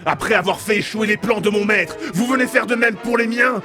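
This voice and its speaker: A man's voice: sits high, fairly quick, natural voice. A man playing a vengeful, hot-tempered villain in an amateur audio drama, with grandiose speech. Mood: menacing, vengeful. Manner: menacing, grandiose declarations. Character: vengeful, hot-tempered fire-throwing villain, servant of a master. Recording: music behind the voice.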